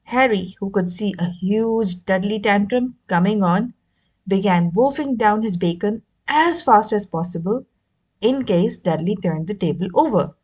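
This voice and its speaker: A woman reading a children's novel aloud as an expressive narrator. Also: mid-range voice, measured pace. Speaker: audiobook reader. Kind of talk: expressive scripted story narration.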